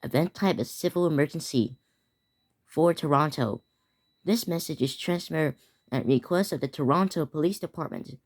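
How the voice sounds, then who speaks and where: A man's voice: on the high side, moderate pace. A teenage boy improvising a mock emergency broadcast, often stumbling and restarting his words. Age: teens. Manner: stumbling, restarting words while reading out an alert.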